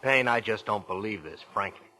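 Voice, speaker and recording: An actor playing a firm, middle-aged American small-business boss, frank and clipped, easily exasperated, in 1940s radio suspense style. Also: male voice, lowish voice, normal speed, pushed and bright, low-quality recording. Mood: firm, dismissive.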